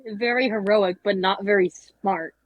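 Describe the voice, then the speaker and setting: A woman's voice: mid-range voice, steady tone, normal speed, dry recording, narrow, tinny audio, natural voice. A woman playing sassy, emphatic cartoon characters in an amateur table read. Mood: sarcastic.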